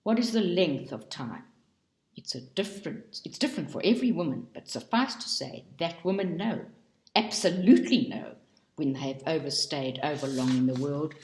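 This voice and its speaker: A woman reading a book aloud in a slow, deliberate and expressive way. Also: low-pitched. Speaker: reader. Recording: roomy echo.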